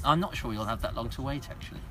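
A man's voice: mid-range voice, lively intonation, quick pace, a bit bright, slight background noise. A man hosting an interview podcast who asks friendly questions with hesitant restarts. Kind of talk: conversational interview.

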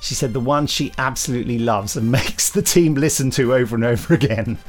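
A man's voice: mid-range voice, fairly quick, faint music behind. A man hosting a podcast, chatting casually in a hesitant, rambling, self-correcting way. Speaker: podcast host; audio drama writer and director. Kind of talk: casual chat.

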